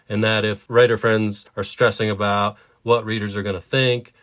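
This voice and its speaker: Low-voiced man discussing stories. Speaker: co-host of a writing podcast. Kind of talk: conversational literary discussion.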